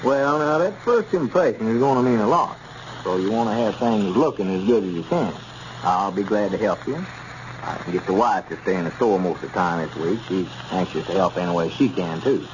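A man's voice: on the low side, fairly quick, lightly acted. An actor playing a helpful, easygoing small-town man who talks plainly in a 1930s radio comedy. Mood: friendly. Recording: noisy, muffled 1930s radio recording.

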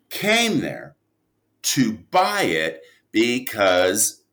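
A man talking casually as an interview guest, a writer who trails off and restarts his thoughts in an animated way. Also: fairly low pitch.

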